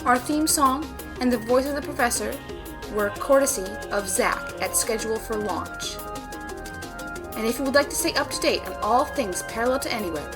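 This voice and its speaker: A woman announcer reading scripted closing credits for an audio drama, with music behind her. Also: a little high. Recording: voice over prominent music.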